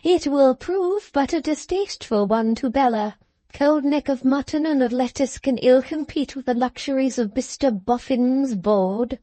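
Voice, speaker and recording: A woman's voice: sits high, measured pace, mellow, dry recording, voice pushed up. A woman voicing a pompous, gloomy middle-aged mother who speaks in solemn, stately tones. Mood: majestic gloom.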